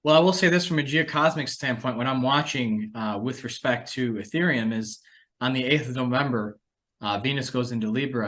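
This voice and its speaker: A man's voice: medium pitch, a bit bright. A man hosting a conversational discussion about financial markets, speaking as an analyst. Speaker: podcast host and market analyst.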